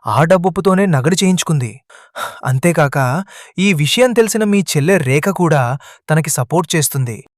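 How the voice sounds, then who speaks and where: Cartoon drama: man voicing an agitated young husband, high and animated, dry. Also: normal speed, no echo, natural voice. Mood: accusing. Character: accusing younger man of the family.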